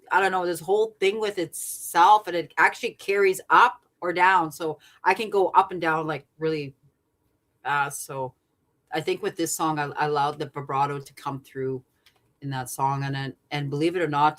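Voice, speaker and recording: A songwriter woman from Saskatchewan with a deep voice and a natural vibrato, chatting earnestly as a guest, rambling with fillers and restarts. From Saskatchewan, Canada. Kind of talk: casual interview chat.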